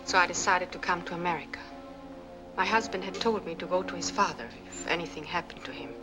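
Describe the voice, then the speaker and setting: An actress playing a troubled, guarded young immigrant widow in a dramatic, earnest 1940s film style. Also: female voice, fairly low pitch, fast talker, natural voice. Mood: haunted, fearful. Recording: old film soundtrack, music behind.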